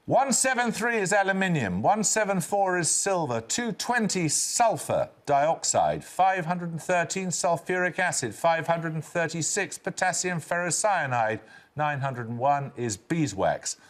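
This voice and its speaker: An older man hosting a television quiz, reading questions in a crisp, brisk manner. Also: fairly high pitch, expressive. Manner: crisp, brisk quizmaster delivery. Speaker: quiz show host.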